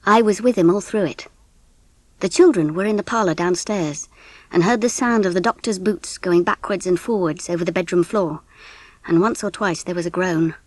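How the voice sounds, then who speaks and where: A woman narrating a children's story audiobook in an expressive, scripted style. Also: fairly low pitch, dry recording.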